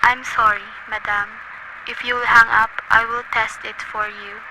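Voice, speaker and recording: A woman's voice: fairly high pitch, flat delivery, normal speed, heavy background noise, close to an everyday voice. A woman voicing a formal, detached telephone operator. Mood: polite. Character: patient telephone operator and police clerk.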